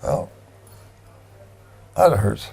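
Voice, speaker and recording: A man in his nineties, a veteran, speaking slowly with emotion in a gravelly, raspy voice. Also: darkish tone. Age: 90s. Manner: slow, emotional. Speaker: veteran interviewee. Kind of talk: interview remarks.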